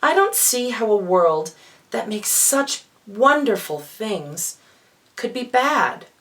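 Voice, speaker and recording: A young mermaid princess, put on by a woman storyteller, lovestruck and impulsive. Her pitch swoops about in a lively way, as suits a children's storybook. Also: slow, full character voice. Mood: dreamy, earnest.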